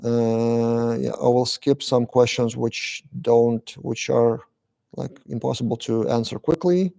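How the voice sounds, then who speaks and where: A man hosts a live-stream Q&A, reading viewers' questions aloud and answering them briefly. His voice is dark and gravelly, with frequent "uh" pauses between the questions and his answers. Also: medium pitch, fairly even intonation. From Lithuania. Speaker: channel host answering live chat.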